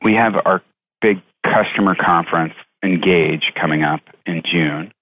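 A man answering interview questions as a company executive, speaking conversationally in measured, halting phrases with repeats, over a tinny phone-like connection. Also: deep voice. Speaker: chief executive, interview guest.

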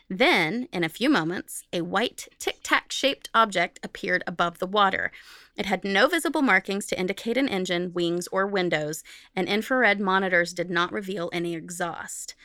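A woman's voice: medium pitch, pushed and bright, no echo, clean studio sound. A woman co-hosting a podcast, reading from notes in an informal, jokey way and carefully repeating technical terms. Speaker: podcast co-host.

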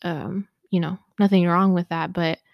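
A young woman from Ethiopia co-hosting a casual podcast, speaking haltingly with lots of fillers while she searches for words. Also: fairly low pitch, steady tone, creaky, no room sound, highs cut off. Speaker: podcast co-host. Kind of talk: casual conversation.

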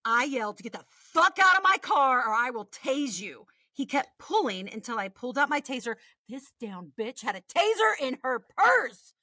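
A lively American woman with a bright, forward voice, recorded close and dry. She co-hosts a casual podcast, reading stories with animation and dropping in irreverent, sweary asides. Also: high-pitched, moderate pace, few pauses, no echo. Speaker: podcast co-host.

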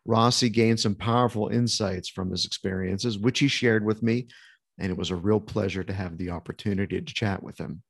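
A man's voice: lowish voice, steady tone, moderate pace, creaky, no echo, studio quality. A man hosting a podcast, chatting in a friendly, conversational way and then starting an interview. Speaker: podcast host.

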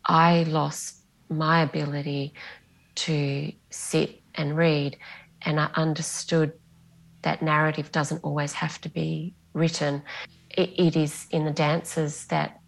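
A middle-aged Australian woman with a slightly low voice and a gravelly, fry-tinged edge. She talks as a novelist guest on a podcast, reflective and measured, her sentences unfolding at length. Greek was her first language. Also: level tone, rough recording. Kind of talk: podcast interview.

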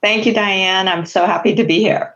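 A woman from Hong Kong whose first language is Chinese, a guest answering interview questions in a measured, businesslike way. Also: medium pitch, steady tone, fairly bright tone, lo-fi sound. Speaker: guest, HR executive.

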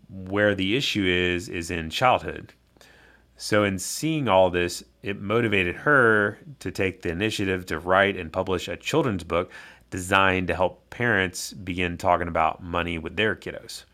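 A man's voice: low-pitched, pushed and bright. A man hosting an interview podcast, talking conversationally with informal, chatty phrasing. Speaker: podcast host.

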